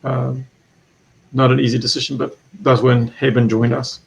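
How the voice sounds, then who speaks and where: A man from Eritrea being interviewed as an author, telling his family's story in a halting way, with frequent restarts and repeated words. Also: mid-range voice, flat delivery, gravelly, low-quality recording. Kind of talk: reflective storytelling.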